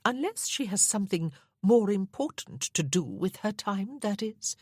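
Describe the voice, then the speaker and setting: A serene, genteel older lady speaks with fond composure, as a woman voices her. Her tone sits a little higher and lighter, with a soft husky edge and lively lilt. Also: normal speed, no room sound, studio quality. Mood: placid. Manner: placid, genteel. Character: placid fond elderly lady, gently teasing aunt.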